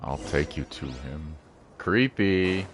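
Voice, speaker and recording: A man streams a game with casual, playful remarks, half to himself. His voice is very deep and slow, but the intonation moves around a lot. Game music plays behind him. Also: expressive, slight background noise. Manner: muttering to himself, humming, playful asides. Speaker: gaming streamer. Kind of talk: casual gameplay commentary. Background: video game music.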